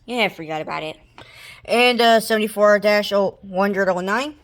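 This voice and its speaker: A woman giving a casual, rambling, halting show-and-tell of gear as a hobbyist presenter. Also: mid-range voice. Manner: halting, rambling, improvised delivery. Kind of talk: casual product showcase. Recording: noisy room with clicking handling sounds. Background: noise, clicks, radio broadcasts.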